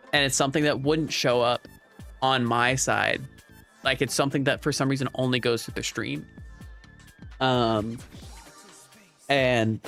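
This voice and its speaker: Guy chatting on his livestream, kinda crackly voice, rambly and conversational, faint music behind him. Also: medium pitch. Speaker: livestream host. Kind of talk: casual livestream chat, responding to viewers. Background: soft background music.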